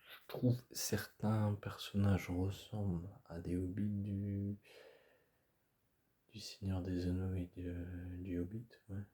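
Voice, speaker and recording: A man talks casually to camera, hoarse and stuffy from a cold. His voice sits very low and he speaks slowly, stopping and restarting often. The chat wanders, full of fillers. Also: flat delivery, mellow. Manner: hesitant, rambling, many fillers and restarts. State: hoarse, stuffy-nosed, coughing. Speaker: video creator.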